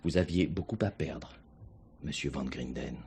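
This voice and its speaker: An actor playing a calm, shrewd police commissioner in a French stage thriller, questioning with deliberate politeness. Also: male voice, rumbling low, vocal fry, crowd noise. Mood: pressing, accusing. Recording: 1970s stage play recording, some hiss.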